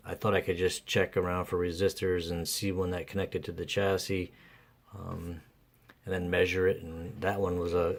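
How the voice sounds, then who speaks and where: A man talking casually and without a script through a repair as host, haltingly thinking aloud. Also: deep voice. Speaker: host of a radio repair video. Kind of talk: casual unscripted repair commentary.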